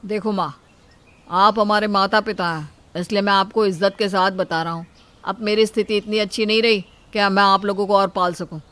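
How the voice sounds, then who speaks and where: A woman's voice: medium pitch, steady tone, moderate pace, fairly bright tone, natural voice. Woman acting scheming, theatrical grown son. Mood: cold, dismissive. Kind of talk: dramatised moral storytelling.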